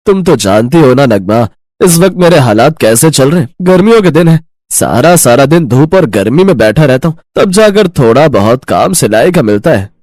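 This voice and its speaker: A man's voice: mid-range voice, very animated, moderate pace, a little mellow, no room sound, studio quality. A man playing a poor, middle-aged tailor father in a children's story, earnest and solemn in his devotion. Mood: weary. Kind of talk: children's animated moral story acting.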